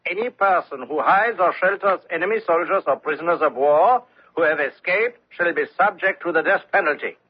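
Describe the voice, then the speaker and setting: An actor playing an officious, accusing German army lieutenant in an old-time radio drama, speaking insistently. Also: male voice, a little high, fairly quick, slightly rough. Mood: stern, official. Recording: dull old radio recording.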